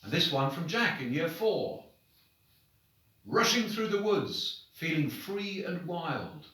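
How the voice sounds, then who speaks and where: A man, a headmaster, reading short stories aloud to children in an expressive, deliberate, dramatic storybook manner. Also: on the high side. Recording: very echoey room, distant microphone.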